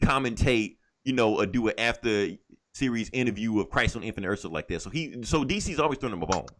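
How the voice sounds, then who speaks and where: Male podcast co-host, rapid-fire and highly expressive, opinionated, foul-mouthed, casual comic-fan chat. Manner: profane, emphatic, opinionated.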